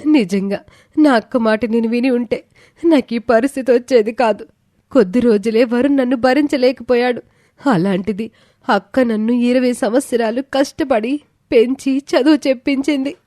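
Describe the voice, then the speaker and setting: A woman's voice: fairly high pitch, normal speed, warm tone, no echo, studio quality, lightly acted. A woman voicing a naive young wife in a story, anxious and regretful, with a pleading, emotional delivery. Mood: tearful regret.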